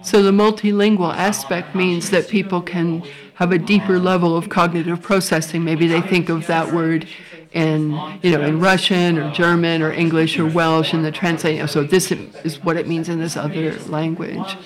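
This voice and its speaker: A woman's voice: on the low side, flat delivery, normal speed. A woman with a Californian accent, hosting a panel discussion and asking guests follow-up questions. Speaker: host.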